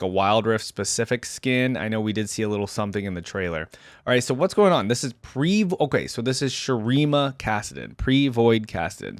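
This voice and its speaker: A man's voice: medium pitch, dry recording, clean studio sound. A man hosting a video game reaction, talking informally and conversationally as he thinks aloud. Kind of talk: casual reaction commentary.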